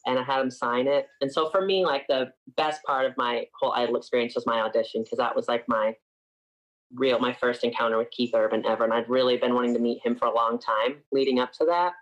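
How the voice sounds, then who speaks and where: A man's voice: mid-range voice, fairly even intonation, fast talker, a bit bright, dull audio. A man in his twenties from upstate New York, a singer chatting casually as an interview guest and filling his talk with frequent "like"s.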